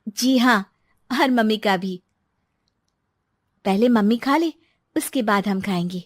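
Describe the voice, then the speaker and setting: A woman's voice: medium pitch, slow pace, no room sound. Woman as a devoted young wife, dry sound. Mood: grateful, earnest.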